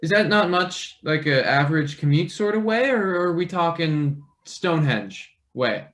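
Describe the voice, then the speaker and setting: A man's voice: on the high side, expressive, a little slow, a bit bright. A man playing an eager, hesitant young male intern with dry wit in a stage play table read. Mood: chatty, bantering.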